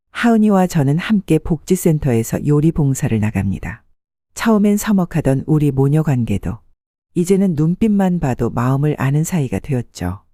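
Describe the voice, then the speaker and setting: A woman in her sixties narrating an audiobook story in the first person, calm and steady. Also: deep voice, dry recording, clean studio sound.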